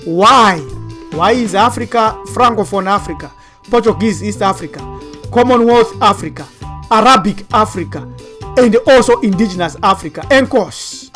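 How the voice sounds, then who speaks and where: A woman's voice: medium pitch, fairly bright tone. A woman giving preachy commentary while walking through web pages, full of rhetorical questions. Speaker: online teacher and commentator.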